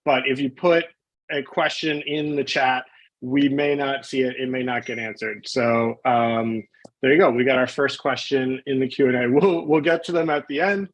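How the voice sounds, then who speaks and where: A man's voice: mid-range voice, fairly even intonation, normal speed, rough recording. A man hosting a live online interview on a video call, friendly and informal as he introduces his guest. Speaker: host, webinar moderator.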